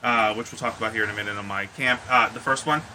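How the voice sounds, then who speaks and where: A man's voice: fairly low pitch, fairly even intonation, fast, pushed and bright, slight background noise, low-quality recording. A man co-hosting a podcast in casual conversation, talking in an emphatic, blunt way with some swearing. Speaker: podcast co-host. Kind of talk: casual chat about movies and games.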